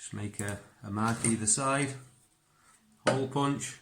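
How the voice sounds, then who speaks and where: A man's voice: mid-range voice, measured pace, heavy background noise. A man who is a native English speaker, giving a casual hands-on bushcraft tutorial and thinking aloud informally. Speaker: bushcraft instructor.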